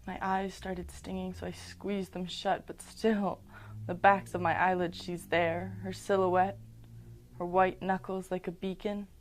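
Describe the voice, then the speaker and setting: A young woman in her late teens performing a dramatic monologue as a guilt-ridden, haunted teenage survivor, halting and intense. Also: lowish voice, level tone, close to an everyday voice, low-quality recording. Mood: fearful, remorseful.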